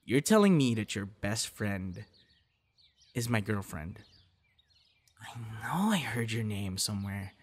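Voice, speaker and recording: A man's voice: fairly low pitch, sing-song, takes it slowly, long pauses, a little hiss or hum. Man acting a friendly, coaxing young guy. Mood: cheerful, surprised. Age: young adult. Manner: earnest, coaxing, sighs and breathy reactions. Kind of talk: ASMR audio roleplay, one-sided dialogue to listener.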